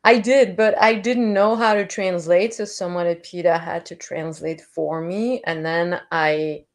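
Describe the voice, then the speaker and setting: A woman hosting a casual livestream chat. She is animated and laughs often. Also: on the low side, unhurried. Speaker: livestream host.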